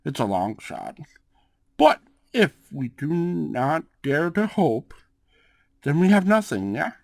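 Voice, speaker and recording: A man playing a suave, calm and protective cat-folk gang boss in an ASMR roleplay, speaking in a measured, drawn-out way with questioning tags. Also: a little high, creaky, no room sound, clean studio sound, exaggerated voice. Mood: reassuring, inviting. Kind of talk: ASMR roleplay, acted monologue to one listener.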